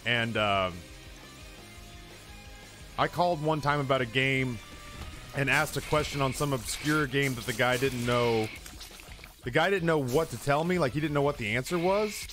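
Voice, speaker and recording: A man's voice: mid-range voice, normal speed, long pauses, fairly bright tone, faint music behind. A man in his thirties who streams, telling stories in a rambling, profane, self-mocking chat. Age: 30s. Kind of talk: casual storytelling chat.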